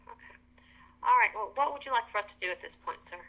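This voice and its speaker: A woman's voice: a little high, expressive, fast. A woman handling a customer complaint over a telephone line, polite but hesitant, often restarting her sentences. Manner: hesitant, polite, addressing caller as sir. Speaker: restaurant employee taking a complaint call. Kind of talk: customer service phone conversation.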